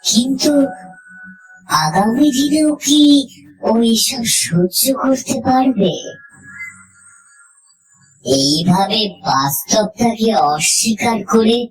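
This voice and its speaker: A woman narrating a scripted horror story in the first person, suspenseful and dramatic, with deliberate pauses. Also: a little high, lively intonation, low-quality recording. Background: music.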